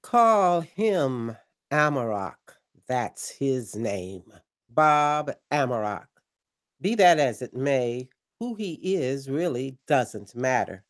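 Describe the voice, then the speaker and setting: A man playing an earnest late-night pirate radio host with a measured, showmanlike delivery in an audio drama. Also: mid-range voice, big pitch swings. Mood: dramatic build-up.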